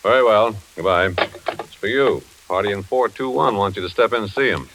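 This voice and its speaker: A man's voice: lowish voice, a little fast, background noise, close to an everyday voice. An older American man playing an easygoing, reluctant retired investigator in 1940s radio suspense style, folksy and reassuring.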